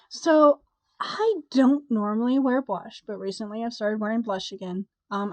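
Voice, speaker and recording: A woman's voice: medium pitch, darkish tone, dry recording, poor audio. A young woman vlogger, congested from a cold, chatting casually and rambling with a stuffy, nasal voice. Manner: chatty, rambling, with sighs and laughs. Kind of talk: casual chat to camera.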